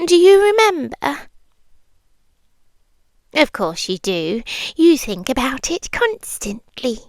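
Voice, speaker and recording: A British woman voicing a sweet, possessive young monster girl in an intimate ASMR roleplay, speaking softly and deliberately and often dropping into a breathy whisper. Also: high-pitched, no room sound, studio quality, full character voice. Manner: soft, breathy, deliberate, with frequent drops into whispering.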